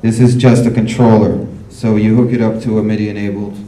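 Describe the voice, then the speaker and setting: A relaxed man walks through his music gear at a workshop, rambling and dropping fillers. His voice is dark and mellow. It sounds roomy and picked up from some distance. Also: lowish voice, brisk. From United States. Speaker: workshop guest musician and producer. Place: workshop room at a cultural venue. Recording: roomy venue, mic from far off.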